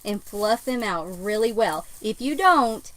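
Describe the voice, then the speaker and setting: A woman's voice: medium pitch, lively intonation, unhurried, low-quality recording. A woman co-hosting a casual livestream craft demo, chatty and upbeat, her voice a little worn. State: losing her voice. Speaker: co-host, home decor designer.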